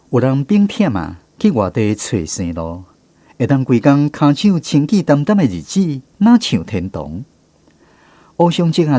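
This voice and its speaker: A man's voice: mid-range voice, mellow, no room sound. An actor playing a writer looking back on his village childhood in a radio drama, reflective and conversational, with a Taiwanese accent. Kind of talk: radio drama storytelling narration.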